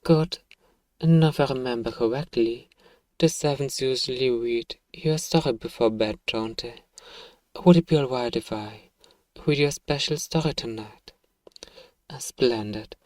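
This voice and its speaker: A man acts out an evil emperor in a bedtime audio role-play. The voice is dark and mellow, recorded close and dry. He speaks softly and formally, a measured, fatherly ruler who is quietly sure of his own righteousness. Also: mid-range voice, no room sound, natural voice. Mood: soothing, encouraging. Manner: gentle, measured, formal, persuasive. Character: evil emperor father, soft-spoken, paternal, self-righteous.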